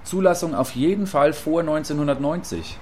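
A man's voice: a little high, moderate pace, mellow, lightly acted. Man acting as field reporter over background noise. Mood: matter-of-fact. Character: eager, apologetic male field reporter giving eyewitness account. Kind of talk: satirical radio play as live news report.

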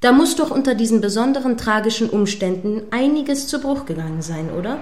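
A woman playing an earnest radio news anchor in a radio play, with a formal, measured way of questioning. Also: a little high, animated, a little mellow, slight background noise, lightly acted. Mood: earnest, probing. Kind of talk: satirical radio play as live news broadcast.

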